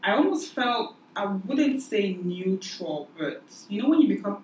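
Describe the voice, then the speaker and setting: A woman's voice: medium pitch, very animated, moderate pace. A young woman from Cameroon hosting a casual discussion. She talks thoughtfully, asks questions, and restarts her sentences often. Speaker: podcast host. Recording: echoey room, mic at a distance, faint noise.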